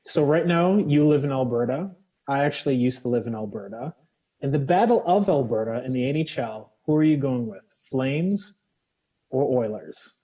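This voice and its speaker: A man's voice: mid-range voice, animated, moderate pace, dark tone, breathy edge, rough recording. A Canadian man hosting an interview, asking friendly, playful questions. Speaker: host.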